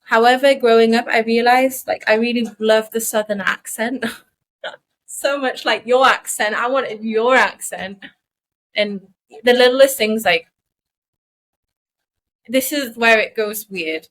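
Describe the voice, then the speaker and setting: A woman's voice: a little high, slow, long pauses, fairly bright tone, poor audio. Chatty woman with a mixed Geordie accent. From northeast England. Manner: rambling, self-deprecating, chatty. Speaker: podcast co-host. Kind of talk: casual podcast chat.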